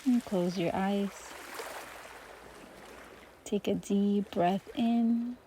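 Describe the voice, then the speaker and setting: A woman teaching meditation, guiding listeners gently through breathing and gratitude with soft pauses between phrases. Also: mid-range voice, slight background noise. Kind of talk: guided meditation.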